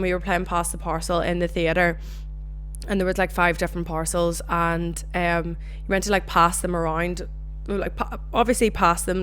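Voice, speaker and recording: Chatty Northern Irish woman, lowish voice. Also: slight background noise. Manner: chatty, animated storytelling with swearing. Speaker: podcast host. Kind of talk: casual solo chat.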